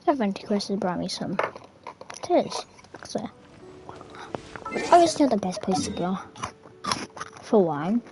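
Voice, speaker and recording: Woman gamer, very animated pitch, casual rambling commentary, muttered whispery asides, game music in the background. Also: a little slow, long pauses, poor audio. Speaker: gamer narrating her own gameplay. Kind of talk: casual gameplay chat. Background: video game music.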